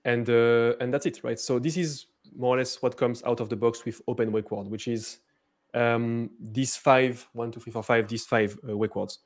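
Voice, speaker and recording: Casting note: French-accented man, mid-pitched, halting live tech demo with lots of "uh" and "um". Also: fairly even intonation. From France. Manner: hesitant, many fillers and restarts. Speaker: presenter.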